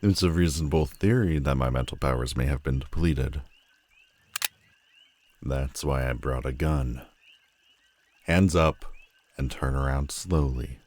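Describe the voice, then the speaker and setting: A man playing a menacing, world-weary telepathic supervillain in an audio roleplay, theatrical and mocking, with deliberate dramatic pauses. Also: extremely low pitch, darkish tone. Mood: cold menace. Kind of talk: scripted audio roleplay addressed to the listener.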